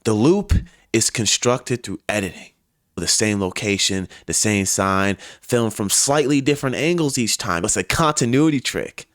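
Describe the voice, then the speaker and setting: Low-voiced man narrating a dramatic video essay. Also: moderate pace, fairly bright tone, no room sound, clean studio sound.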